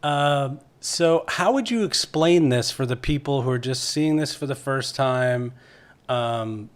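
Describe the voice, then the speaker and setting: Mid-pitched man interviewing casually. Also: unhurried, clear, resonant tone. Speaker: podcast interviewer.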